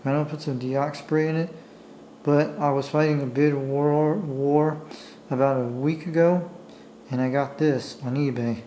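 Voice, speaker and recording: A man giving a casual, unscripted show-and-tell in a halting, apologetic way, as a hobbyist talking while he handles his camera. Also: fairly high pitch, steady tone, dark tone, slight background noise, low-quality recording. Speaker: amateur radio hobbyist showing a device. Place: indoors at a table. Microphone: handheld camera's own microphone.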